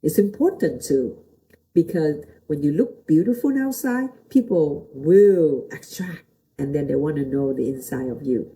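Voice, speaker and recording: A middle-aged woman with a Vietnamese accent teaches viewers in a motivational style. Her voice is fairly low and very mellow, and she speaks slowly. Her English is simple and non-native, in short repeated phrases with questions put to the listener. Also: animated, low-quality recording. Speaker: host, motivational teacher and pastor.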